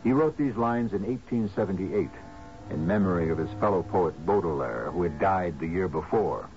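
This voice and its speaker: A man hosting a radio mystery drama, narrating in a solemn, literary, deliberate manner and reciting verse. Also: low voice, animated, a little mellow. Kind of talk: scripted dramatic radio narration with poetry quotes. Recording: muffled old radio broadcast, music underneath.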